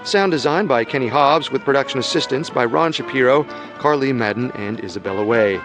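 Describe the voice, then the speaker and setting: Measured male podcast host. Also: mid-range voice, music in the background, rough recording. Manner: measured, announcer-like. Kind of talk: scripted narration.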